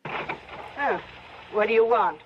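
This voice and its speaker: Defiant, high-voiced old countrywoman, muffled recording. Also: animated, takes it slowly, noisy background. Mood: wary, folksy. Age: older. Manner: scornful, folksy. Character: defiant old countrywoman, crotchety and sharp-tongued. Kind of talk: old-time radio drama acting.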